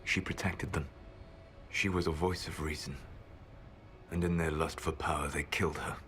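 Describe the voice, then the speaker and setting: An actor playing an ancient, secretive male elf mage who speaks in a measured, grave and regretful way. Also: a bass voice, steady tone, fairly bright tone. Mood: solemn. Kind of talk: video game voice acting. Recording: game audio with music score.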